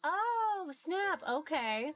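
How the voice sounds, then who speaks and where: A woman's voice: extremely high pitch, very animated, drawn-out words, fairly bright tone, soft background music. A woman chatting casually and playfully as she streams a game, reacting aloud. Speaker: streamer playing a visual novel. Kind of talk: casual gaming stream chat.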